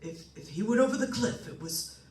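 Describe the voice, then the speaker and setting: A man playing an ailing, courteous doctor in an old-time radio drama, speaking formally and haltingly. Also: high voice, lively intonation, a little mellow. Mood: insistent. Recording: roomy, far from the mic.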